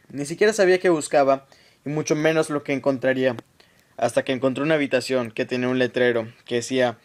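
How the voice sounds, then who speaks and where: Casting: male storyteller for radio drama, mid-pitched voice, scripted narration.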